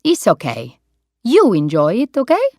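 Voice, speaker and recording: An eager young waiter chatters in friendly broken English, his voice lifting high with enthusiasm, as a woman puts him on. The reading is dry and close. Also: wildly swooping pitch, a little slow, warm tone, no echo. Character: eager young Italian waiter, owner's son.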